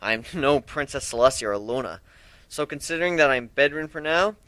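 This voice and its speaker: Man playing a bright-voiced, weary young griffin hero. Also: mid-range voice, expressive, fairly quick, dry recording. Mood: wry, modest. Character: weary, wry young male griffin adventurer, self-deprecating.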